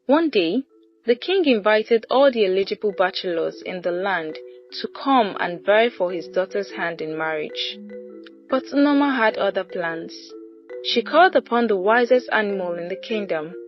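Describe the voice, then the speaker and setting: A woman's voice: medium pitch, animated, normal speed, lo-fi sound, faint music behind. A woman narrating a scripted folktale as a storyteller.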